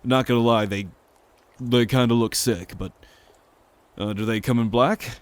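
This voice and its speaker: A man's voice: medium pitch, steady tone, unhurried, smooth, natural voice. A man playing a laid-back young guy in an ASMR roleplay audio drama, casual, teasing and sarcastic, with free swearing.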